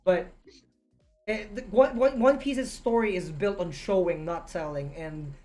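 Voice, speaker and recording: A woman hosting a casual review chat, excitable and quick to laugh, often restarting her sentences. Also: fairly low pitch, faint music behind. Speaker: host reviewing a series.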